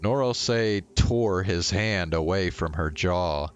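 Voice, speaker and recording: A man's voice: sits low, takes it slowly, smooth. A male streamer reading a visual novel aloud and talking to viewers as he goes. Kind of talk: live read-aloud narration of a visual novel.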